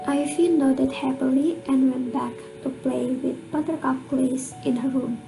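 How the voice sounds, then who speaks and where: A woman's voice: sits high, fairly even intonation, unhurried, vocal fry, low-quality recording. A woman narrating a children's tale for an amateur radio drama in a scripted storytelling style. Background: guitar music.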